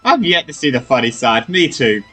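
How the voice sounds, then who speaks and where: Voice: mid-range voice, very animated, normal speed, bright, forceful tone, slightly rough. A gamer giving casual, informal playthrough commentary and reading dialogue aloud, reacting as the game goes. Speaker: gamer playing through a story quest. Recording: game music behind the voice. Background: game music and dialogue.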